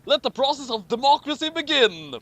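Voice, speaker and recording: A man's voice: extremely high pitch, sing-song, a little fast, fairly bright tone, no echo, voice pushed up, heavily acted. A man voicing a pompous president who declares grand verdicts in a declamatory manner. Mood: commanding.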